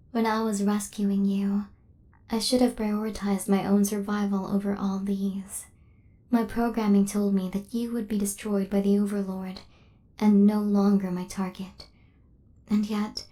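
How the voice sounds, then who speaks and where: A soft, breathy female killer robot, acted by a woman in an ASMR roleplay. The tone is dark and mellow, with very little rise and fall. The phrasing is formal and measured like a machine, yet tenderly possessive and devoted. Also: mid-range voice, lightly acted. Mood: solemn, devoted. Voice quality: soft, breathy half-whisper. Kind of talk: intimate ASMR roleplay acting.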